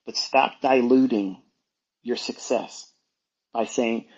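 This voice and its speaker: Male educator, informal monologue. Husky, emphatic, admonishing delivery with repeated phrases, on a dull lo-fi recording. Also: medium pitch, steady tone, takes it slowly, a little mellow. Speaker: trading educator hosting an online audio talk. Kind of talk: informal unscripted monologue, lecturing.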